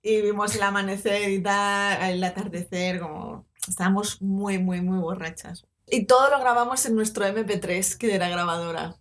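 A young woman with a Spanish accent tells a story with lots of energy. She talks in an easy, chatty way and laughs often. Her voice sits at a middle pitch. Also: normal speed, hardly any pauses, slight background noise. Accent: Spanish, from Spain. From Spain. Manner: animated, laughing anecdotes. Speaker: podcast co-host. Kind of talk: casual storytelling chat.

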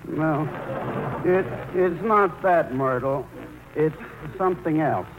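Tinny old radio, sulky high-voiced grown son. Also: male voice, background noise. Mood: glum, hesitant. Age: 30s. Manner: halting, aggrieved, brooding. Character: sulky, indecisive 38-year-old son living at home. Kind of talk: 1940s radio play acting.

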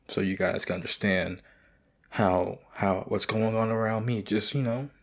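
Young man, raspy gravelly voice, very low, rambling profane podcast talk. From United States. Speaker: podcast host. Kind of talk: casual late-night monologue.

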